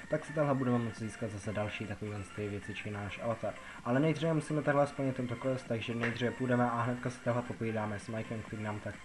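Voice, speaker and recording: A man's voice: mid-range voice, noisy background. A man from the Czech Republic giving a casual, rambling game tutorial, repeating himself as he explains. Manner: rambling, repetitive explaining with filler words. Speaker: gaming video narrator. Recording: music behind the voice. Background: background music.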